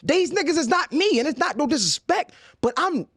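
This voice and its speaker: Emphatic man in his thirties, high voice. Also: very animated, a little fast, a bit bright, dry recording, clean studio sound. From Baltimore. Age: 30s. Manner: emphatic, repeats words when pressing a point. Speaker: podcast guest, journalist. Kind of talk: heated casual conversation.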